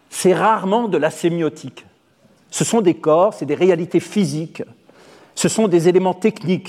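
A man whose first language is Arabic, a theatre director giving a measured, eloquent spoken essay. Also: high voice, mellow. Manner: measured, eloquent, deliberate phrasing. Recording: long hall reverb.

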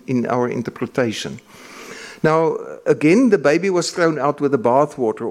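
Halting Afrikaans-speaking male lecturer. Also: on the high side. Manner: measured, halting with frequent fillers and restarts. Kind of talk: academic lecture.

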